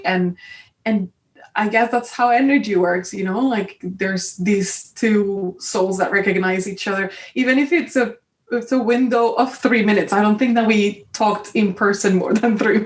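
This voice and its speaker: A woman's voice: medium pitch, moderate pace, roomy sound, distant mic. A Colombian woman with a Spanish accent, guest on a podcast, chatting openly and laughing often. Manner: warm, giggly, expressive. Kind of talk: casual conversation.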